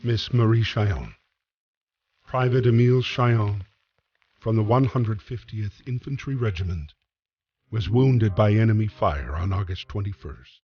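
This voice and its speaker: An actor playing the solemn narrator of a wartime story in a video game, in a scripted style. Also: male voice, lowish voice, a little slow, breathy edge, dull audio. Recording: music behind the voice.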